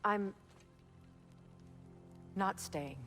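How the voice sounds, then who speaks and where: An actress playing a proud, wounded warrior goddess with a grave, formal, intense manner in a video game. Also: female voice, medium pitch, very slow, over music. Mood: stern. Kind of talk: cinematic video game acting.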